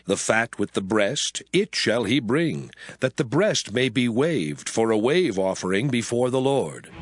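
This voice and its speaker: A man playing God as a solemn, commanding lawgiver in a dramatized scripture reading, speaking in measured, declamatory phrases. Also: mid-range voice, sing-song, no room sound, clean studio sound.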